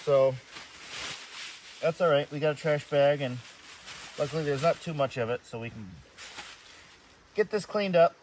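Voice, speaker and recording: A man chatting casually to camera in a halting, low-key way, as an outdoor camping vlogger. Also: a little mellow. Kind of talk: casual vlog chat to camera. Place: outdoors at a riverside wilderness campsite. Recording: outdoor camera audio with ambient noise.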